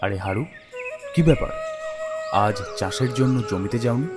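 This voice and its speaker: A man plays an elderly retired schoolmaster in a village cartoon. He is gentle and reflective, with measured speech at a medium-low male pitch, and music plays behind him. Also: expressive, close to an everyday voice. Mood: kindly, calm. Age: older. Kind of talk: cartoon character acting.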